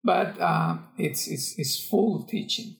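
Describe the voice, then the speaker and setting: An older man with a Costa Rican accent answers interview questions conversationally, pausing and searching for words. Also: fairly high pitch, creaky. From Costa Rica. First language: Spanish. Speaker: geography professor, podcast guest.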